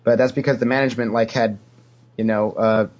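A man's voice: medium pitch, steady tone, brisk, darkish tone, highs cut off. A man giving casual, anecdotal answers as a podcast guest, a talkative storyteller who acts out what people said. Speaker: podcast guest, former theme park character actor.

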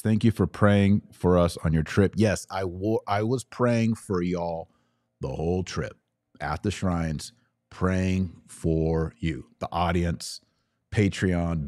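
Deep-voiced American man, emphatic livestream host. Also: mellow, no echo, studio quality. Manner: emphatic, opinionated, short repeated phrases. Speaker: podcast host taking live audience questions. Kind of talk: casual livestream monologue, reading and answering comments.

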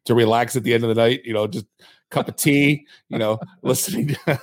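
A man's voice: mid-range voice, fairly even intonation, fairly quick, dry recording. A man hosting a podcast who tells rambling, self-mocking stories in a chatty, casual way. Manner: chatty, storytelling, self-mocking, many restarts. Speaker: podcast host.

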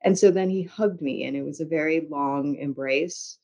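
A woman with an American accent telling her story conversationally as an interview guest, in a measured way with frequent pauses. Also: low voice, warm tone. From United States. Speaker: interview guest, journalist and author. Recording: dull, lo-fi audio.

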